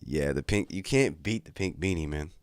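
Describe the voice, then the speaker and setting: A man's voice: a bass voice, expressive, moderate pace, clear, resonant tone, no echo. A young man hosting a casual livestream from his bedroom, chatting in a laid-back, crude, joking way, slightly congested from a cold. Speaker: livestream host.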